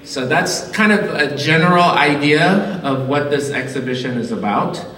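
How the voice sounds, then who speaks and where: A man from Liberia giving an artist talk in a gallery, speaking slowly with many pauses and fillers. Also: fairly high pitch, lively intonation, a bit bright. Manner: slow, halting, many fillers. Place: large echoey gallery indoors. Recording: roomy hall, far from mic.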